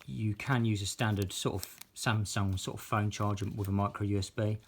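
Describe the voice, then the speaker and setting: British male reviewer, lower register, informal unscripted demo, slight background noise. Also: steady tone, normal speed. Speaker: product reviewer filming an unboxing. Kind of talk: casual unscripted product demonstration.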